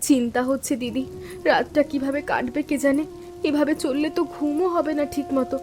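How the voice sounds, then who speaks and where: A woman playing a timid, earnest young sister-in-law in an animated story, with an anxious, pleading and deferential manner. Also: sits high, brisk, soft background music, close to an everyday voice. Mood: worried.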